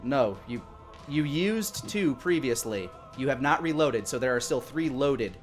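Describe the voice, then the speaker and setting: A man's voice: mid-range voice, expressive, measured pace. A man with a mid-range voice serves as dungeon master of a tabletop game. He improvises narration and talks through rulings, with faint music underneath. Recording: music playing behind the voice. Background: background music.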